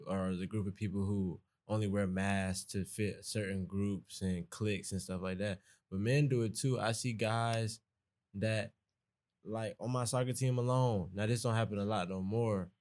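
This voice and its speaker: A young man from East Atlanta with a Southern Atlanta accent, a college student talking earnestly in a relaxed podcast chat. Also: on the low side. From East Atlanta, Georgia. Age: young adult. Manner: relaxed, earnest, conversational, dialect grammar. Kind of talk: casual podcast conversation.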